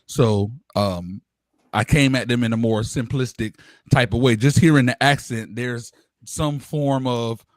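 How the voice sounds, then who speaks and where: A man in his thirties on a casual podcast, talking in an animated, rambling way with fillers and restarts. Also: medium pitch, a little slow, no echo, studio quality. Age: 30s. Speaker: co-host.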